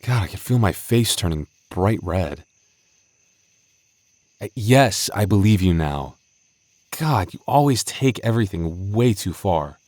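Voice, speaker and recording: A man's voice: fairly low pitch, expressive, a little slow, long pauses, a little mellow, dry recording. A man playing a flustered, bashful young male game master in an intimate roleplay audio drama, stammering, sighing and mock-stern with his childhood friend.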